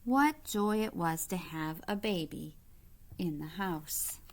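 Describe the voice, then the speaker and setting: A woman's voice: on the low side, expressive, slow pace, slight background noise. A woman reading a picture book aloud like a teacher, explaining as she goes and putting simple questions to young listeners.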